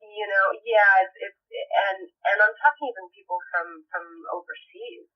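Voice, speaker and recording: A woman from Puerto Rico, an actress guest, talking thoughtfully with many halting restarts in a podcast interview. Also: medium pitch. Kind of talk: podcast interview over the phone. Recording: muffled phone line, far from the mic.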